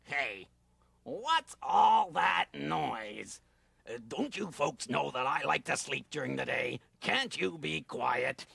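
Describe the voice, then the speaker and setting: A grumpy, sleepy storybook raccoon, as a woman puts him on, drawling and grumbling his complaints. the voice is pitched far below her own, with a gravelly, creaky grain. it still rings bright. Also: lively intonation, takes it slowly, dry recording, full character voice. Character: grumpy sleepy male raccoon who naps by day.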